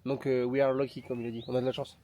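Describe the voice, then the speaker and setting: A man's voice: mid-range voice, racing. A man with a head cold, vlogging outdoors in a casual, marvelling way. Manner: excited, marvelling, mixes in simple English. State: has a head cold, stuffy. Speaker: travel vlogger. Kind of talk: casual vlog narration. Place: outdoors on a volcanic plain. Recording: noisy outdoor recording.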